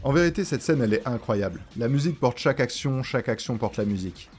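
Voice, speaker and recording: A man's voice: medium pitch, expressive, fast, noisy background. A man presenting a video essay in scripted narration, with casual slang and joking asides. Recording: music behind the voice. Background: background music.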